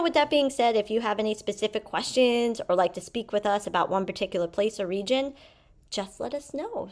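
Conversational woman co-host, medium pitch. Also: darkish tone. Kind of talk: conversational presenting.

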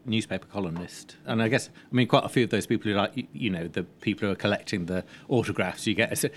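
A man's voice: fairly low pitch, quick pace, a little hiss or hum. A man chatting informally as a panellist at a film screening, enthusiastic and inclined to digress. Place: live event at a film screening.

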